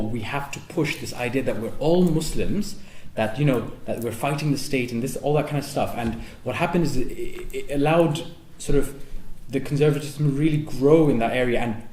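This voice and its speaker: A man who grew up between Saudi Arabia and the UK, speaking on a panel and keeping the discussion moving in a brisk way. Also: mid-range voice, a little mellow. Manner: brisk, keeps the event moving. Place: university lecture hall. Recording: echoey hall with faint background noise.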